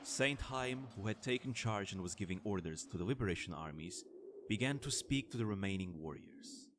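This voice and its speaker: A man's voice: lowish voice, expressive, moderate pace, noisy background. A man narrating a scripted fantasy war story as the narrator of an audio drama.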